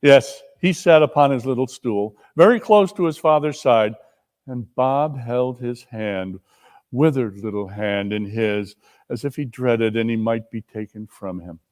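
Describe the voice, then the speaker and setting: A man giving a theatrical storybook narration, deliberate and expressive. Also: medium pitch. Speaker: narrator in a dramatic reading.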